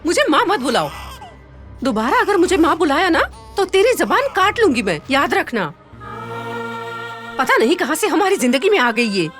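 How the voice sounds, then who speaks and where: A woman's voice: high voice, big pitch swings, brisk, fairly bright tone, soft background music. A woman voicing a cruel cartoon stepmother who scolds shrilly and dotes on her own son. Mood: angry. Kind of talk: cartoon voice acting.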